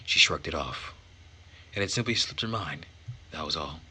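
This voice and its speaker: A man reading a visual novel aloud as host and narrator, with measured, theatrical phrasing. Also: very deep voice, lively intonation, pushed and bright, slightly rough. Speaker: let's play host and narrator.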